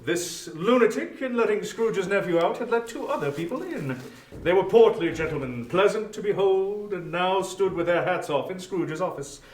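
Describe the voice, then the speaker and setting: A man's voice: high voice, lively intonation, normal speed, slight background noise. A man narrating a story in a scripted, dramatic style, recorded at some distance in a roomy space.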